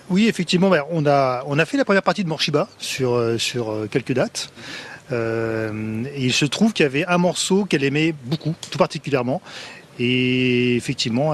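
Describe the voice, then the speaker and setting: A man's voice: medium pitch, moderate pace. A man explains things patiently in a mid-range voice, with fillers and restarts. Faint background noise sits under his voice. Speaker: musician guest, sousaphone player. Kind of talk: casual interview answers.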